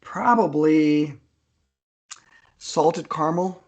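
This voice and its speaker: A man's voice: fairly high pitch, very slow, a little mellow, dull audio, slight background noise. Easygoing mature man in casual conversation. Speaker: author guest. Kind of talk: casual interview chat.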